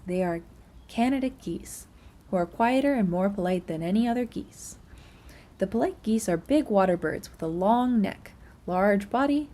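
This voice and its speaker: A woman with a soft-edged, mellow voice reads scripted narration as a tour guide would. Her delivery is measured and informative, and there is some faint noise behind her. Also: medium pitch. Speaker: student narrator of an audio nature tour.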